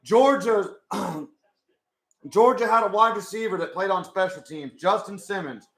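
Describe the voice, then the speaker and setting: A young man with a hoarse voice, chatting casually with his live chat as he watches a football game. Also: high voice, measured pace, a bit bright, reverberant, low-quality recording. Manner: chatty, swears, talks to chat. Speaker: live stream host.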